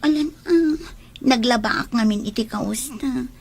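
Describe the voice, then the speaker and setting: A woman acts a young girlfriend with an Ilocano accent in an audio drama, over faint ambient noise. Her voice is somewhat high and very slow, coaxing and demanding. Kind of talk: amateur Ilocano radio-style comedy drama acting.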